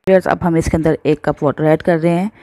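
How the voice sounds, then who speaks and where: A woman's voice: low voice, steady tone, fast talker, mellow, dry recording. A woman hosting a home cooking video, explaining each step to her viewers in a friendly, patient way. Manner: friendly, addresses viewers often, mixes in English words. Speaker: cooking channel host. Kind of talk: step-by-step recipe voice-over.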